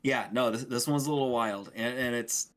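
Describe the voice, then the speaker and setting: A man co-hosting a gaming podcast in casual conversation, halting and repeating words as he explains things. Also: mid-range voice. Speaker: podcast co-host.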